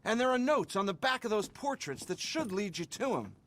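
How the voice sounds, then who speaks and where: An actor playing an excitable, earnest male writer who admits he is desperate. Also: high-pitched, a little hiss or hum. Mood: eager. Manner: excitable, earnest, talks fast in bursts. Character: eager nervous male writer. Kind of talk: video game cutscene acting.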